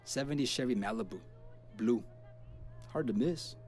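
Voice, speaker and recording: A man's voice: mid-range voice, normal speed, a little mellow, natural voice. Man playing tough gang boss. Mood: cool, menacing. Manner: street slang, tough talk. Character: tough, vengeful male street gang boss. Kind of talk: scripted video game dialogue.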